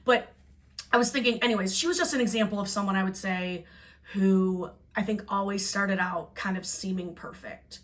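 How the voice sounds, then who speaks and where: A young woman from Buffalo, New York, hosting a podcast in casual chat and talking in an animated, meandering way. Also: medium pitch, moderate pace. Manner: chatty, animated, trails off and restarts. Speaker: podcast host.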